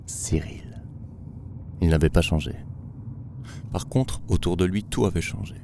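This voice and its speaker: A man from Belgium narrating a documentary in a slow, measured, reflective voice-over. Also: low voice, vocal fry, background noise.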